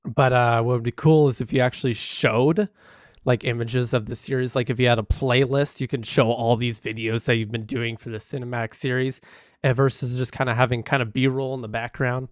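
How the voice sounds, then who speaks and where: A hoarse man live-streaming, casually critiquing videos with many fillers and restarts. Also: mid-range voice, steady tone, brisk, no echo, studio quality.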